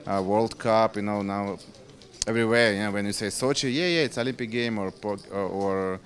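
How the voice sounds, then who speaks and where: Low-voiced Russian man, halting English. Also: fairly even intonation. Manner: halting, searching for words. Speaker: casino poker director. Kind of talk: interview answers. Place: casino floor.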